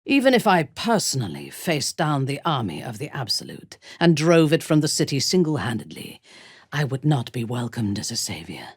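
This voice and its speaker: A woman playing an imperious, ruthless dark elf warrior noblewoman in a fantasy video game, speaking in a commanding, formal, haughty way with a husky, breathy voice. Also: very deep voice, wildly swooping pitch, moderate pace, no echo, clean studio sound, exaggerated voice. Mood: proud, reflective.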